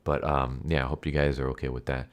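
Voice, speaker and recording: Man, extremely low pitch, stuffy congested nose, sick with a cold, casual rambling reviewer talk. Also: little pitch movement, quick pace. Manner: rambling, repeats and rephrases himself. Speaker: YouTube anime movie reviewer and channel host.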